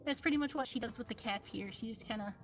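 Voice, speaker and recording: Casting: woman livestream host, fairly high voice, giggly and affectionate, casual chat, dull lo-fi audio with music behind. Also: fast. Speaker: cat cafe livestream host. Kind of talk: casual live showcase chat. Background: faint music.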